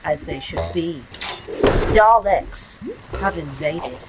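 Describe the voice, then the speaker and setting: A woman playing a calm, formal artificial intelligence in a sci-fi audio drama, speaking with precision. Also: deep voice, slow pace, very noisy. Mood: measured, narrating. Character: calm, formal, attentive female A.I. of a secret facility.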